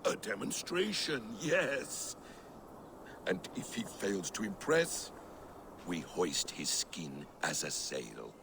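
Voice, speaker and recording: A man's voice: sits low, huge pitch swings, takes it slowly, noisy background, full character voice. A man playing a sly, mocking Norse trickster god in a video game, with a drawling, theatrical, taunting delivery. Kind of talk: video game cutscene acting.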